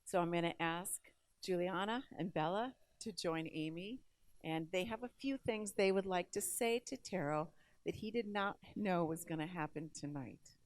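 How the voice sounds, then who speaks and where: A woman's voice: lowish voice, moderate pace, no echo. A woman speaking as master of ceremonies, announcing to a live audience. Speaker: event host, master of ceremonies.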